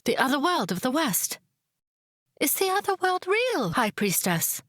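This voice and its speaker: A woman's voice: sits high, big pitch swings, unhurried, a bit bright, no room sound, clean studio sound, full character voice. A woman playing a timid young female temple servant, deferential and full of questions, in a visual novel. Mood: anxious wonder. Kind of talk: dramatic visual novel acting.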